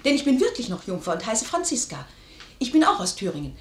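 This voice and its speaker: An actress playing a saucy, quick-witted young lady's maid in a radio play, pert and teasing in mock earnest. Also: on the high side, very animated. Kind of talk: 1950s German radio play acting. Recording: roomy 1950s radio studio recording.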